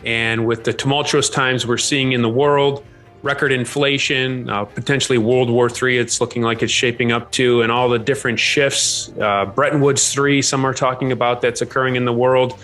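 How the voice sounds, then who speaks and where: A man's voice: medium pitch, little pitch movement, normal speed, fairly bright tone, soft background music. A man hosting an interview show, welcoming his guest and asking questions in a friendly, conversational way. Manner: friendly, conversational, some fillers. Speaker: podcast host.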